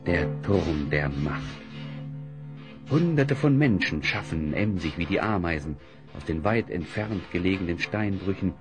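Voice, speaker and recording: A man narrating a fantasy audio drama as a solemn, scripted storyteller. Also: low voice.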